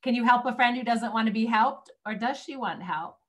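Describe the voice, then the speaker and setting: A woman's voice: a little high, a little fast. A woman judge on a video call giving casual, effusive and encouraging feedback. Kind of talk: casual spoken critique.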